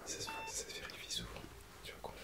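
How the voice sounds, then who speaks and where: A man playing a calm, studious doctor in a French ASMR roleplay, measured and clinical, whispering and murmuring. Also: natural voice. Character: calm, studious male Christmas doctor assessing a patient.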